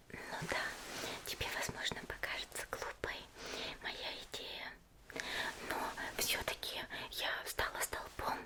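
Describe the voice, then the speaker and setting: A woman playing a sweet, admiring young female demon slayer in a whispered ASMR roleplay, with a hesitant, coy manner. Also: lightly acted. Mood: bashful, wistful. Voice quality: soft whisper.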